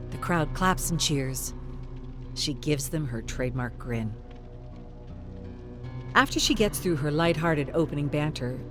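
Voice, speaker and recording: A woman reading scripted, dramatic story narration. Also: low-pitched.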